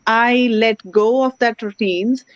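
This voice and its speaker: A woman talks as a guest in an online interview. She speaks earnestly and gratefully, filling pauses with "you know." The call audio is dry and dull, with a lo-fi sound. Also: a little high, steady tone, no echo. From India. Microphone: video call.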